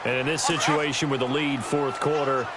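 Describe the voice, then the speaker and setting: A man's voice: mid-range voice, steady tone, normal speed, a loud crowd, lightly acted. A man playing an alert American play-by-play announcer in a football video game broadcast. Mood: steady, focused. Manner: crisp descriptive calls, rising on big plays. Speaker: sports play-by-play commentator. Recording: loud game stadium noise behind. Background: loud stadium game ambience.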